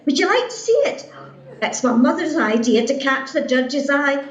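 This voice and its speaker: An actress playing a gushing, fluttery, excitable pageant contestant in a radio play, chattering breathlessly and anxiously. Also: female voice, high voice, moderate pace. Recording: muffled, echoey home recording.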